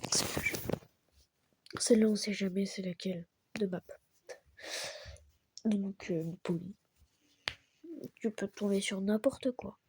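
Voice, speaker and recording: A young man with a very high, childlike voice, stuffy from a cold, giving soft, half-whispered, rambling commentary over his gameplay. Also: steady tone, slow, pauses often, background noise. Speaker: gaming YouTuber.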